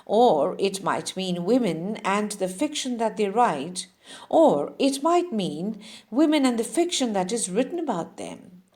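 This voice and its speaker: A woman giving an expressive literary audiobook reading, with measured, dramatic phrasing. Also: on the high side. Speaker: audiobook reader.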